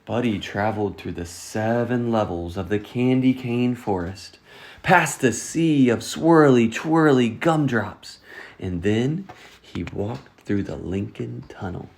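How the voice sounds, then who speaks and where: A storyteller reading a children's picture book aloud in an expressive, scripted way. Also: medium pitch, slow, gravelly. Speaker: storytime reader.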